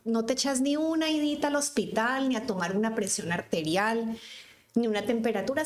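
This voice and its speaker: Colombian woman in her fifties, chatty. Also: on the high side. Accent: Colombian, from Cali. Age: 50s. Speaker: guest, physician. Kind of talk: casual conversational interview.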